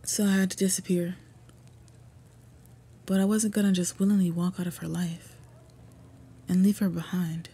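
A woman playing an earnest, devoted half-orc woman in a fantasy roleplay audio, speaking formally and softly with a breathy voice. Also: lowish voice, level tone, moderate pace, long pauses. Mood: earnest, reminiscing.

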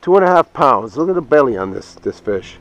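A man's voice: mid-range voice, very animated, fairly quick, fairly bright tone, muffled recording. Guy filming his fishing trip, casual chat, medium voice, outdoor noise and clicks behind him. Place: small open boat on a waterway, outdoors. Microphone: chest or head action camera worn by speaker. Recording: outdoor action camera, clear background noise.

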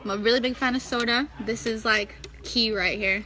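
Bright, chatty young woman on a livestream. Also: fairly high pitch, steady tone, normal speed. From New Jersey, US. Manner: chatty, upbeat, playful. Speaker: livestream co-host, wrestler. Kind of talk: casual livestream chat, reading viewer comments. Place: small indoor room. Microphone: phone camera held close.

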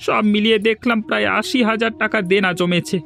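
An actor playing an anxious, downhearted poor village younger brother in a cartoon, speaking in a plaintive way. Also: male voice, high-pitched, fairly even intonation, quick pace, vocal fry, a bit bright, faint music behind. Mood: worried, downhearted. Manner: plaintive, worried. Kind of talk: cartoon moral story voice acting.

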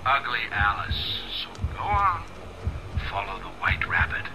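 A man's voice: lowish voice, sing-song, measured pace, noisy background. An actor playing a sadistic, mocking film director villain in a video game, sneering and theatrical as he gives orders. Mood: gleeful taunting. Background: music.

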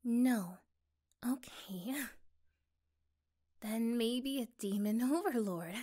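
A woman playing an affectionate, curious girlfriend in an ASMR roleplay, speaking gently and haltingly. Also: on the high side. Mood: hesitant, curious.

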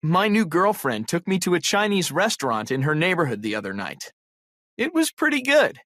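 Animated voice reading a casual script. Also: medium pitch, normal speed, no echo, clean studio sound. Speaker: recorded listening exercise speaker. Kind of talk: scripted read-aloud.

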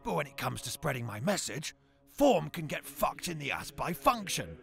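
Gravelly, pompous cartoon zealot, played by a man. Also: fairly high pitch, big pitch swings, normal speed, faint music behind, exaggerated voice. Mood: insistent. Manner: pompous, verbose, grave, declamatory. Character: bearded, grandiose, zealous reactionary author and provocateur. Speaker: talk show guest. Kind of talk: satirical cartoon talk show acting.

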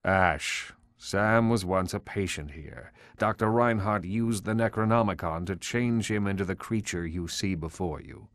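An actor playing the solemn ghost of a professor in a video game, formal, grave and urgent. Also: male voice, low voice, a little slow, few pauses, no room sound, clean studio sound. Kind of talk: video game cutscene acting.

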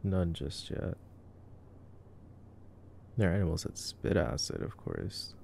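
A man's voice: deep voice, sing-song, slow, vocal fry, mellow, a little hiss or hum. A young man giving casual ASMR movie commentary in a laid-back, joking, murmured way. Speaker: ASMR boyfriend roleplayer commenting on a film.

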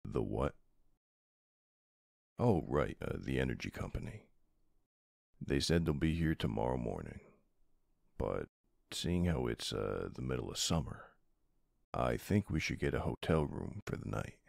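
Man acting out doting mob boss husband, ASMR roleplay, soft-hearted tough guy, gravelly, unhurried, tender, close dry recording. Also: big pitch swings, dry recording, exaggerated voice. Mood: easygoing, pleased. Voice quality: very deep, gravelly.

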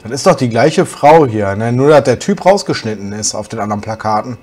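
A young man with a slight cold, hoarse, chatting casually as he plays a truck driving game for his channel. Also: medium pitch, a little fast. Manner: relaxed, chatty, musing aloud while driving. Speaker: gaming channel host.

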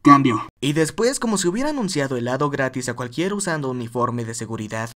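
A man giving expressive storytime narration for a fan-dubbed cartoon animation. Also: mid-range voice, fast.

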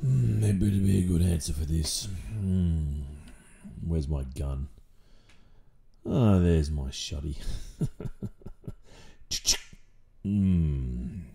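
An Australian man playing a stern, protective, possessive father in an audio roleplay, speaking deliberately and condescendingly with drawn-out pauses and menacing calm. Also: sits low, gravelly, full character voice. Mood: gruff, suspicious. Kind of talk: intimate audio roleplay, slow dramatic monologue.

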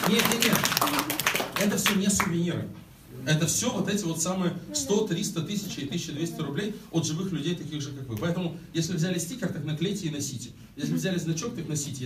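A man, a campaign organizer, addressing a public meeting in a brisk, joking manner. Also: fairly high pitch. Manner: brisk, joking, reading out donations. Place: crowded meeting room indoors. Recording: echoey room, mic far off, faint noise.

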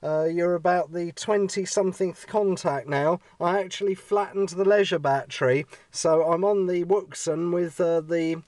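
A man's voice: high voice, fairly even intonation, moderate pace, few pauses, a little mellow, no room sound. An easygoing, chatty man making casual amateur radio contact as an operator. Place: in and beside a parked car outdoors.

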